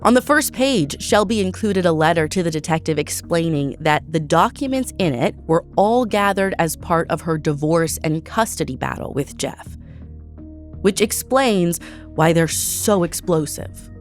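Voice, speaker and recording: A woman's voice: fairly low pitch, lively intonation, unhurried, faint music behind. An American woman hosting a true crime podcast, reading a scripted narration of a case.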